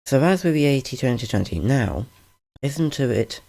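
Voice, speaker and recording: Deep, gravelly man; slow, rambling, self-correcting tutorial host, dark tone, studio condenser mic. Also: expressive. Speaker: channel host explaining audio gear. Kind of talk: casual screen-recorded tutorial. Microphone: large-diaphragm studio condenser.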